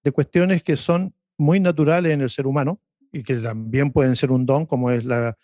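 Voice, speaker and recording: A man on a radio panel, explaining history and faith in a measured, professorial way. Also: medium pitch, fairly even intonation, mellow, dry recording. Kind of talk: lecturing faith discussion.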